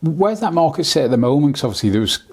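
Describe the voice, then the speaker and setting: A man's voice: fairly high pitch, big pitch swings, quick pace, slightly rough. A man hosting a podcast in a casual, jokey, informal way. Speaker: podcast host. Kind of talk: casual, chatty interviewing.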